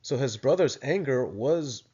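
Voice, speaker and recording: A man's voice: medium pitch, expressive, measured pace, dark tone, husky. Man, american, casual teaching tone, restarts sentences, slightly dull recording. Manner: thoughtful, with frequent restarts and fillers. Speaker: video essay presenter. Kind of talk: casual explanatory lecture.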